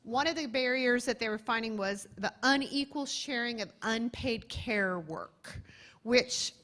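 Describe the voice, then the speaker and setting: Woman running a panel, bright voice, chatty with lots of ums. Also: a little high, a little slow. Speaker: panel moderator. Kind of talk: presenting research to an audience.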